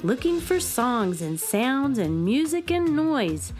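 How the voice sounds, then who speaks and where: Eager student fan in children's radio play: high, unhurried, highly animated, emphatic explanations. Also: female voice, soft background music, heavily acted. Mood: admiring. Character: eager young music student, knowing adoring fan. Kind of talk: children's radio drama acting.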